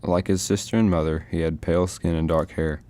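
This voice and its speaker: A man playing a measured storybook narrator, reading scripted narration in a radio play. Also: sits low, steady tone, mellow, natural voice.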